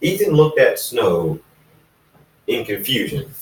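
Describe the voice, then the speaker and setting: A man narrating fan fiction in an amateur reading, haltingly, repeating and correcting his phrases. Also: mid-range voice, very animated. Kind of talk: amateur story narration with acted dialogue. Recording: echoey room, distant microphone.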